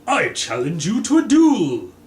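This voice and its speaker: A man voicing a self-important, blustering military gentleman who speaks with pompous emphasis in a storybook reading. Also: sits high, huge pitch swings, measured pace, voice pushed up, full character voice. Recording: echoey room, faint background noise.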